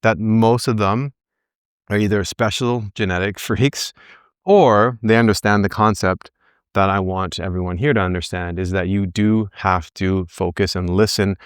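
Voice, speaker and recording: Low-voiced man coaching a group. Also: steady tone, normal speed, smooth, no echo, clean studio sound. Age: early 40s. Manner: conversational, acts out his own thoughts as quotes, often tags points with "right?". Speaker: back pain coach leading a group session. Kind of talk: informal motivational talk to a group.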